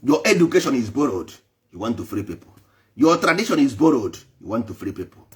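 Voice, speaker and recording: A man's voice: mid-range voice, sing-song, brisk. Emphatic preaching man. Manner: emphatic, repetitive, switching between English and Igbo. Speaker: traditional spiritual speaker. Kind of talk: preaching to a live online audience.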